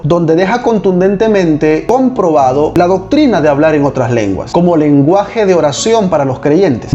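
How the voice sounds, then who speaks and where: A male pastor reading aloud from a book and explaining it in a measured, deliberate way. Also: high-pitched, warm tone, muffled recording.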